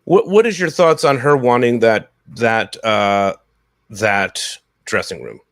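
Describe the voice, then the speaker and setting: A man in his thirties hosting a casual discussion on a video call, often halting and repeating phrases. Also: lowish voice, expressive, no room sound, clean studio sound. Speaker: podcast host. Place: home room, video call.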